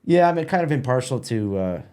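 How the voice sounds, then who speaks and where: A middle-aged or older man chatting as an interview guest, halting and repeating words as he goes. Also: medium pitch, very animated, a little mellow. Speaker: festival organiser, podcast guest. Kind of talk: casual interview chat.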